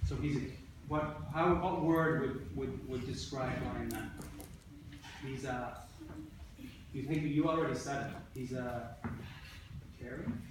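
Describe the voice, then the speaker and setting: A man's voice: mid-range voice, slow pace, long pauses. Echoey, distant male teacher prompting a class. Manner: prompting, often repeats answers and questions. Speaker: second-grade teacher. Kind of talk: leading a classroom read-aloud discussion. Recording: echoey, noisy room, distant mic.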